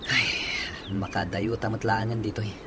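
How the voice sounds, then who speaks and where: A man's voice: on the low side, level tone, normal speed, noisy background, lightly acted. An actor playing a heartbroken young man in radio drama style, with an Ilocano accent. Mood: resigned. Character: heartbroken young man pining after a woman.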